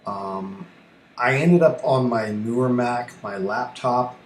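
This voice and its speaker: The recording is roomy and echoey, with faint noise behind the voice. A man talks slowly at a medium pitch as he hosts an informal streamed tutorial. He rambles, thinks out loud and puts himself down in an offhand way. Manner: rambling, thinking aloud, self-deprecating. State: allergy coughing and throat-clearing. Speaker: livestream host and ham radio operator. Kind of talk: casual live-stream tutorial.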